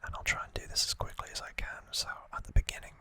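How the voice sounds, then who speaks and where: A man speaks in a soft, breath-only whisper with a bright, sharp edge. He hosts ASMR, recapping trivia informally and haltingly, often correcting himself as he explains. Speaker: ASMR host.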